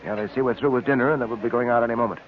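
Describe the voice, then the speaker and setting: An actor playing a calm, commanding detective in 1940s radio style, with a dry, clipped, unflappable delivery. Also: male voice, medium pitch, racing, natural voice. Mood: dry, firm. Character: calm, commanding adult male police detective. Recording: muffled old radio recording with hiss.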